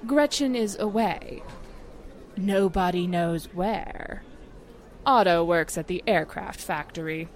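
A woman's voice: on the low side, takes it slowly. A woman acting a suspicious, insinuating small-town housewife in a 1940s-style radio drama. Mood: suspicious gossipy.

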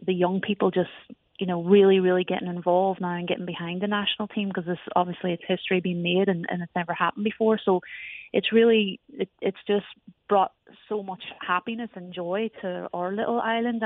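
A woman's voice: lowish voice, no room sound. A woman with an Irish accent, a soccer coach, talking in a chatty phone interview with many restarts. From Ireland. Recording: tinny phone line.

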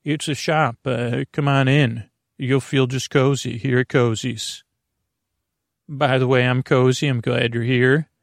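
A man hosting a bedtime podcast, talking in a lulling, creaky way and wandering off on meandering tangents. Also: mid-range voice, fairly even intonation, unhurried, no room sound, studio quality. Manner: meandering, tangent-prone, self-interrupting. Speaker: podcast host. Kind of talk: rambling bedtime podcast talk.

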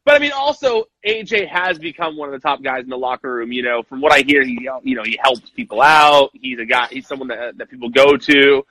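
A young man co-hosting a casual wrestling podcast, animated and quick to act out lines he quotes. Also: medium pitch, poor audio. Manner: animated, acts out quotes in voices. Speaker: podcast co-host.

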